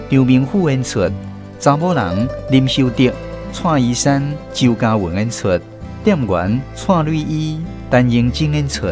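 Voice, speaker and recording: A man with a Taiwanese accent hosting a radio programme, reading scripted narration and introductions. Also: mid-range voice. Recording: prominent music under the voice. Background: music.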